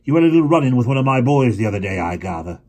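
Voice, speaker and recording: A man voicing a gruff, heavy-jowled man who talks with clumsy joviality, then flatly. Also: mid-range voice, very fast, pitched above the performer's own voice. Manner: blunt, expressionless.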